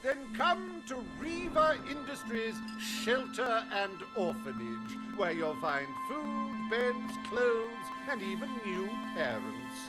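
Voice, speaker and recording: An actor voicing a foppish, greedy, scheming industrialist in a video game, pompous and drawling, with oily contempt for the poor. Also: male voice, extremely high pitch, big pitch swings, slow pace, few pauses, big-room sound, loud music behind the voice, exaggerated voice. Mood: condescending. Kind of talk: theatrical video game dialogue.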